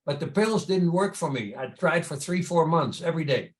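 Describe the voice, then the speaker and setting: Older man, Dutch accent, fairly high voice, conversational storytelling, interview guest. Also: expressive, moderate pace. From Netherlands. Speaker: podcast guest, entrepreneur and charity founder.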